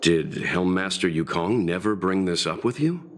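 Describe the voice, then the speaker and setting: A man's voice: low voice, lively intonation, normal speed, slight background noise. A man playing a thoughtful, reserved traveller in a video game, with a polite, formal manner. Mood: thoughtful, careful. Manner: formal, considerate. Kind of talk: scripted video game dialogue. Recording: music behind the voice.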